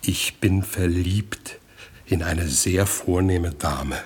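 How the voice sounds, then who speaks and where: An actor playing a vain, foolish, middle-aged rich bourgeois in a radio comedy, naive and eager, blustering and exclaiming. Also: male voice, deep voice, slow, slight background noise. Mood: bashful, confiding. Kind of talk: 1960s German radio comedy acting.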